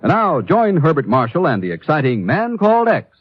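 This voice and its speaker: American male announcer, hugely animated intonation, husky, scripted vintage radio style, muffled dry recording. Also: a little high, brisk, no echo.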